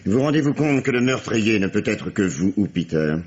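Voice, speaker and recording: Gruff low-voiced police inspector, dull old recording. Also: male voice, sing-song, moderate pace, a little mellow. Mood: pressing. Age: middle-aged. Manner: authoritative, sarcastic, theatrical. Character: gruff, sardonic middle-aged police inspector questioning a suspect. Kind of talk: 1950s French radio drama acting. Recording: dull, lo-fi vintage radio recording.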